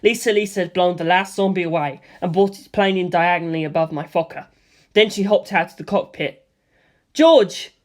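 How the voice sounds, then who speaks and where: A reader giving a casual, lively first-person audiobook narration with offhand asides. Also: mid-range voice, fairly quick.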